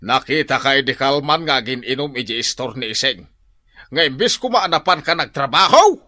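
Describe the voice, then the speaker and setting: A man's voice: medium pitch, expressive, normal speed, bright, forceful tone. An actor playing a stern, scolding elderly father-in-law in a radio drama, with an Ilocano accent. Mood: indignant scolding. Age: older. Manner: scolding, exasperated.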